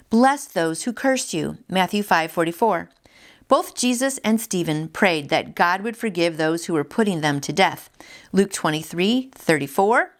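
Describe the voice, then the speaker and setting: Woman devotional host, lower pitch, casual and chatty between readings. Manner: warm, chatty asides, slows for scripture.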